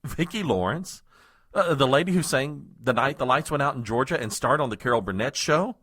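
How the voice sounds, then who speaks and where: A man's voice: mid-range voice, expressive, a little fast, fairly bright tone, husky, no echo, studio quality. An actor playing a self-important, married political aide in an audio comedy, nervously stammering and stiffly formal as he fends off trouble. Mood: anxious, secretive. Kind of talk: comedic audio drama acting.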